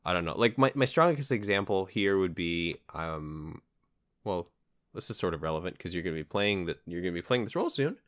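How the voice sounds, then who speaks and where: A man's voice: sits low, animated, a little fast, a bit bright, dry recording, clean studio sound. A man hosting a podcast in casual conversation, talking in a hesitant, rambling way that keeps qualifying itself. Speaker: podcast host. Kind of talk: casual chat about comics.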